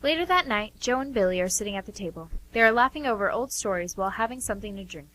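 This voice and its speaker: A woman's voice: medium pitch, animated, fast talker, fairly bright tone, rough recording. A woman reading scripted stage directions as the narrator of a radio play.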